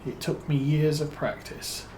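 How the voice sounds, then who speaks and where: Slow-talking British man streaming a game. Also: on the high side, little pitch movement, background noise. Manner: unhurried, musing, thinks aloud with many fillers. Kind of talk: relaxed let's-play commentary.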